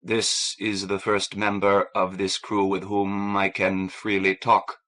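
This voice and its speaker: A man voicing a big, courteous, melancholy alien in an audiobook, speaking slowly and formally. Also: low voice, monotone, a bit bright. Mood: formal, hesitant. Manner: slow, formal, deliberate.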